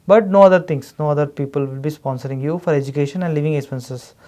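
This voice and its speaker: A man with an Indian accent speaks very quickly in a soft, relaxed tone. He is a consultant guest on a podcast, explaining in a wordy, emphatic way and leaning on repeated filler words. His pitch sits a little high.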